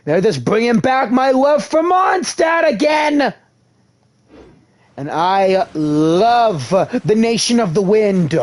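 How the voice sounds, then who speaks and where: A man's voice: sits high, takes it slowly. A man streaming a game live, chatting casually, reading dialogue aloud and reacting with enthusiasm. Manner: animated, excitable, reads dialogue aloud. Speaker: gaming streamer. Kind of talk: casual live commentary while playing.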